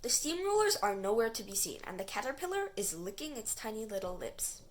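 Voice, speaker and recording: A woman reading a children's book aloud in an expressive, dramatic way. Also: fairly high pitch, brisk. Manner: animated, dramatic dialogue. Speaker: storybook reader.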